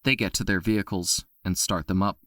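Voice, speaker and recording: A man narrating an audio drama with expressive storytelling. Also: deep voice, a little fast, no room sound, studio quality.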